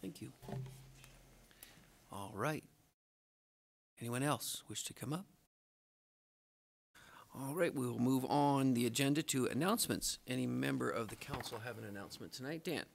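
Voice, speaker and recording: A man chairing a city council meeting, brisk and procedural as he calls for comments. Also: mid-range voice, gravelly, slight background noise. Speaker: presiding official chairing the meeting.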